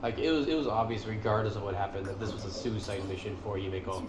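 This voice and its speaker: A man's voice: fairly low pitch, fast, noisy background. A man giving casual, slangy and enthusiastic commentary as a gaming video creator. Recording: roomy echo under loud music. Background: loud game music.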